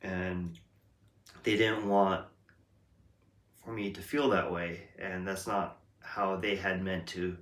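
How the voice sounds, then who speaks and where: A man with a deep, low voice talks through his thoughts at an unhurried pace. He hesitates often and restarts his sentences as he reflects aloud. The room around him gives his voice a noticeable echo. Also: flat delivery, a bit bright. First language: English. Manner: slow, hesitant, many ums and restarts. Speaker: host. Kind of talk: reflective casual monologue. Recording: roomy echo.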